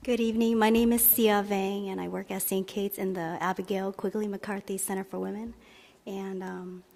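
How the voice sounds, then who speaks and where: A woman's voice: medium pitch, little pitch movement, moderate pace, vocal fry, a little mellow. A woman born in Thailand who works at a university, introducing herself politely. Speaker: university staff member. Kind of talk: short introduction at an event. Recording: hall reverb.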